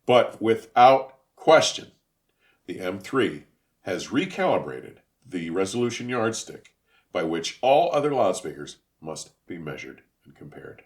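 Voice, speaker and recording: Low-voiced man, dramatically animated reviewer. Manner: emphatic, florid, deliberate enunciation. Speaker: audio equipment reviewer. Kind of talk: scripted review narration.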